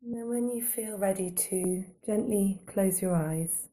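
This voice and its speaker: A woman guiding a body scan meditation in a calm, gentle, unhurried manner, giving slow soothing instructions with long pauses. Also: mid-range voice. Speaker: meditation guide.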